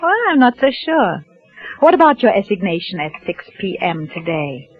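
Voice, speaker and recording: A woman's voice: mid-range voice, big pitch swings, normal speed, natural voice. An actress playing an uneasy young wife who narrates her story, speaking hesitantly with restarts, in a 1940s radio drama. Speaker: narrator and lead character. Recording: dull lo-fi 1940s radio with music.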